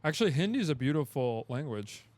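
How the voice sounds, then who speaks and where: A man's voice: mid-range voice, big pitch swings, quick pace, a little mellow, dry recording. A man co-hosting a casual podcast, argumentative and insistent, joking between points. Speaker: podcast co-host. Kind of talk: casual chat.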